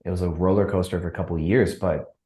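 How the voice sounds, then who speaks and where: A man from Wisconsin, a podcast guest, telling his story in a relaxed, reflective way with fillers. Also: sits low, quick pace, reverberant. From Wisconsin, USA. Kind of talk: casual interview chat.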